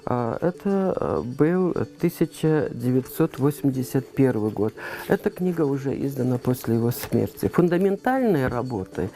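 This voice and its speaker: An interviewee from Ingushetia giving unscripted commentary, searching for words in a halting way. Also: medium pitch, lively intonation, warm tone. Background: faint background music.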